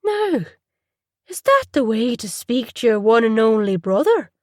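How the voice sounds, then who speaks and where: Woman doing Irish older brother, lilting and needling, slow. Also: very high-pitched, big pitch swings, no room sound, studio quality, heavily acted. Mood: coaxing. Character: brooding Irish older brother, man in his thirties.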